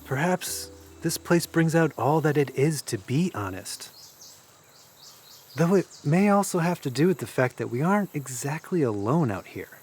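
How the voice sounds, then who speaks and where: An actor playing a thoughtful, gentle young older brother in an audio drama, speaking earnestly and reflectively. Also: male voice, fairly high pitch, slight background noise. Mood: musing. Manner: earnest, reflective, wordy phrasing. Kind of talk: audio drama dialogue acting. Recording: music and crickets behind the dialogue.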